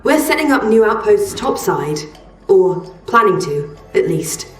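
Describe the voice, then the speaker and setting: A woman's voice: medium pitch, sing-song, a little slow, roomy sound, far from the mic, soft background music. An actress playing an earnest, hopeful woman in a sci-fi video game, speaking in a candid, conversational way. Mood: excited. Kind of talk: video game cutscene acting.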